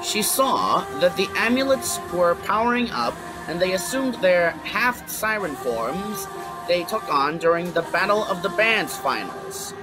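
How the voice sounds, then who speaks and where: A man with a fairly high, lively voice reads scripted audiobook narration. His delivery is slow and varied, with music clearly playing behind him. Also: poor audio. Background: background music.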